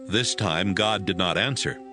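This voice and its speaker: A man's voice: deep voice, measured pace, pushed and bright, faint music behind. A man narrating scripture in a scripted, dramatized audio Bible style.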